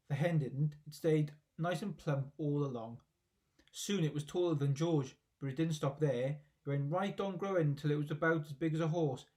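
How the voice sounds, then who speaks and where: A man's voice: on the high side, a little mellow. A man narrating a children's story expressively, as a reader reading aloud from a book.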